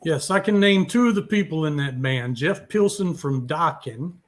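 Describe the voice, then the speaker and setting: A man's voice: a little high, expressive, normal speed. A man co-hosting a music show, talking in a casual, conversational way. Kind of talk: casual chat.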